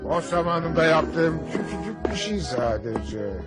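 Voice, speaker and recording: A man's voice: a little high, very animated, unhurried. A man voicing a blustering, big-hearted middle-aged father in a language cartoon dub, with theatrical delivery. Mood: earnest. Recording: music under the dialogue.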